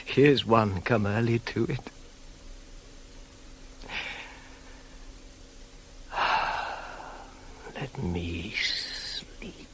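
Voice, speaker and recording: An actor playing a proud, bitter young prince dying in agony in a classical radio tragedy, declaiming between hushed gasps and cries. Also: male voice, on the low side, animated, very slow, exaggerated voice. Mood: weak, drained. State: weak and in agony. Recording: hissy 1980s cassette of radio play.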